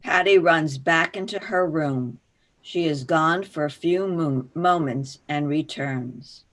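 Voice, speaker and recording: A woman's voice: deep voice, slow pace, a bit bright. A woman reading scripted stage directions as narrator for a play reading.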